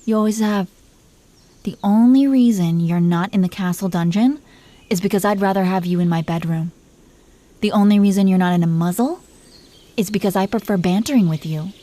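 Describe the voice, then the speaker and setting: A woman's voice: mid-range voice, moderate pace, dark tone, lightly acted. A woman playing a possessive, teasing dragon queen in an intimate roleplay audio, commanding yet doting toward her husband. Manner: playful, commanding, mock-sweet.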